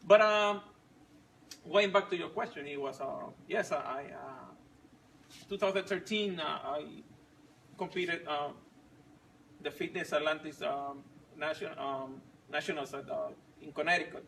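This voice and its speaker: A man in his forties, not a native English speaker, telling his story slowly with many pauses and restarts. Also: on the high side, creaky, fairly bright tone, distant mic, slight background noise, low-quality recording. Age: 40s. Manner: halting, many fillers and restarts. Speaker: fit club member sharing his story. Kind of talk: casual personal story.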